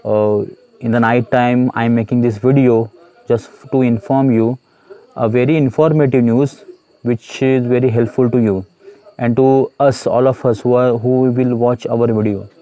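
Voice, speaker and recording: A man's voice: medium pitch, steady tone, dark tone, clean tone, poor audio. A man with an Indian English accent gives an earnest motivational talk to his students as a YouTube host, with many hesitations and repeated phrases. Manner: earnest, repetitive, many "uh" pauses.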